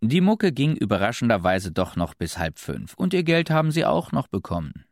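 A man's voice: medium pitch, sing-song, normal speed, dry recording. A man delivering scripted radio-play narration like a documentary announcer, with dry asides.

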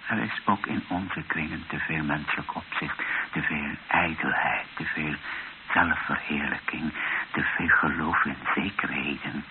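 Weary bishop, low voice, grave and reflective, radio drama, hissy old recording. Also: male voice, gravelly, phone-quality sound, full character voice. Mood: earnest, self-critical. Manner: reflective, grave, rhetorical, with deliberate pauses.

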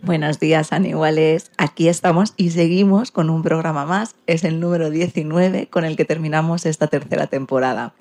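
A woman's voice: deep voice, fairly even intonation, moderate pace, hardly any pauses, darkish tone. A woman hosting a radio programme, presenting from a script. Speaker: radio show host.

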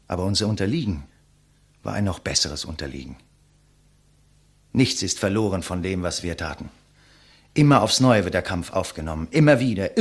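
An actor playing a condemned idealistic man in a 1950s German radio play, speaking solemnly and rhetorically with a husky voice. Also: fairly low pitch. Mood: resigned, then impassioned. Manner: solemn, rhetorical, impassioned declamation. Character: condemned man, idealistic intellectual, weary and resigned facing his executioner.

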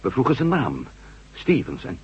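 An actor playing an unsettled, confused former astronaut with memory loss in a radio drama, speaking haltingly. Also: male voice, mid-range voice, huge pitch swings, slightly rough, full character voice. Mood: troubled, halting. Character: confused amnesiac former astronaut, mild but unsettled husband. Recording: dull radio recording with faint hum.

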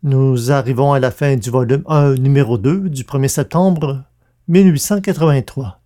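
A man's voice: mid-range voice, moderate pace, mellow. A man hosting a podcast, reading scripted announcements. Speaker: podcast host and producer.

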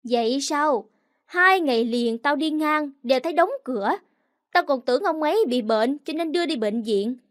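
A woman voices a greedy older neighbor woman from an audio story who scolds in a shrill, high voice. She is quick to hurl insults and demands. The sound is close and dry, with no room around it. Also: no echo.

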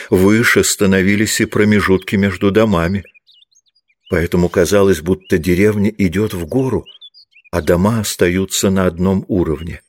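Male narrator, low register, for scripted literary audiobook reading.

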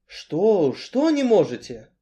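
Man acting a timid, pleading man, pitched high. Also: wildly swooping pitch, slow, warm tone, muffled recording, heavily acted. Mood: frightened questioning. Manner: plaintive, trembling, questioning.

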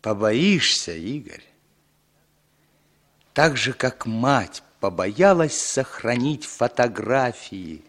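A man's voice: mid-range voice, sing-song, slow pace, fairly bright tone. An actor playing an evasive, bitter long-lost older brother in a 1960s Soviet radio drama, emotional, repeating his words. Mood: resigned, bitter.

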